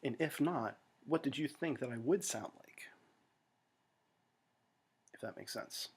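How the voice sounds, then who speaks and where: A man's voice: mid-range voice, measured pace, a little hiss or hum. An American man talking to friends in a rambling, self-deprecating monologue full of fillers and restarts, recorded at home. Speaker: vlogger.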